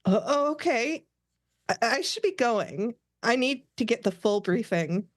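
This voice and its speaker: A woman voicing a young alien officer in an amateur read of an animated sci-fi comedy script. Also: a little high, unhurried, no room sound, clean studio sound, pitched above the performer's own voice. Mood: nervous.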